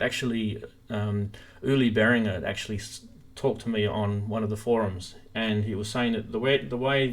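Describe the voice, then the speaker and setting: A man with a mid-pitched voice hosts a casual live stream demo. He explains things in a loose, rambling way, speaking into a stream microphone. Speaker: host of a synthesizer live stream.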